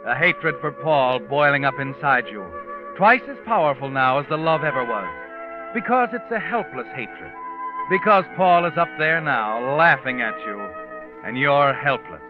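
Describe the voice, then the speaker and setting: An actor playing a sinister, all-knowing narrator of a radio crime mystery, with an insinuating, knowing delivery. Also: male voice, medium pitch, lively intonation, normal speed, close to an everyday voice. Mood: ominous, intense. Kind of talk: 1940s radio suspense narration in second person. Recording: muffled old radio recording with music.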